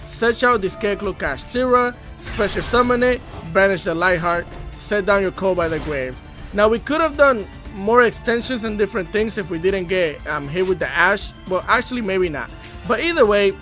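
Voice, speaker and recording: A man's voice: high-pitched, a bit bright. A man with a stuffy, congested voice giving hype, slangy commentary over card game replays. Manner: hype, slangy trash talk. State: congested from a cold. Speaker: gaming YouTuber. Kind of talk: casual gameplay commentary.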